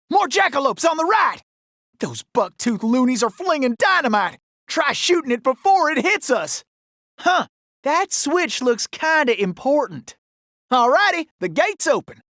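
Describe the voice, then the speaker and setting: Casting: young gunslinger outlaw for a video game. Very high, animated voice, Old West Southern drawl, boastful and gallant. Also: male voice, a little slow, no echo, studio quality, full character voice. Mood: folksy, determined. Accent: American Old West Southern drawl. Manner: folksy, exclamatory, boastful cowboy bravado.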